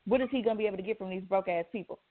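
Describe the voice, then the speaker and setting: A woman's voice: mid-range voice, rapid-fire. Blunt woman on a thin, phone-like recording. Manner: insistent, repetitive, blunt, slangy. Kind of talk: casual debate.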